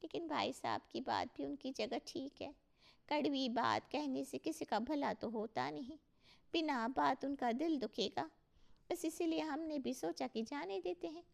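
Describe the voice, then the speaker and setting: Gossipy cartoon neighbour, woman performer: high voice, extremely creaky, confiding grumbles. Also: measured pace, full character voice. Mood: gossipy grumbling. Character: gossipy, restrained neighbourhood housewife.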